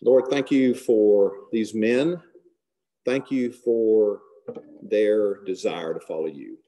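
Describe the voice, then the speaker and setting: Middle-aged male guest speaker, mellow low voice, earnest sermon-style talk, softly trailing phrases. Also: rough recording. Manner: earnest, unhurried, trailing off softly at line ends. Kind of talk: devotional talk and prayer. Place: large hall. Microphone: camera close below the face.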